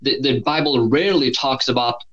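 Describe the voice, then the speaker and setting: A man with a Norwegian accent talks things through in a measured, reflective way, often restarting his sentences. His voice sits at an ordinary pitch. The recording sounds slightly dull and lo-fi. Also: a bit bright. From Norway. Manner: thoughtful, measured, with frequent restarts. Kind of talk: conversational podcast discussion.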